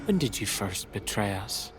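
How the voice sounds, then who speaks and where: An actor playing a stern, contemptuous, grandiose warlord in a video game, speaking in a grave, portentous way. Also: male voice, lowish voice, sing-song, normal speed, heavy background noise. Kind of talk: dramatic video game voice acting.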